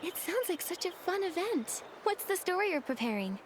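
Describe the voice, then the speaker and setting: A young woman playing a kind, gentle and determined heroine in a video game, speaking sincerely and with measured politeness. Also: extremely high pitch, very animated, background noise. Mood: gentle, curious. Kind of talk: video game character acting. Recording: loud music behind the voice. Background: game music.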